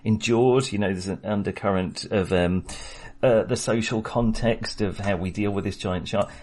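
Man, low voice, wry casual film chat, podcast co-host. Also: moderate pace. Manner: chatty, wry, animated when making a point.

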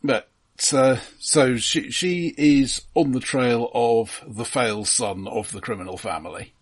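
A man co-hosting a film podcast, giving measured but opinionated commentary in casual conversation. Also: medium pitch. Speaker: film review podcast co-host.

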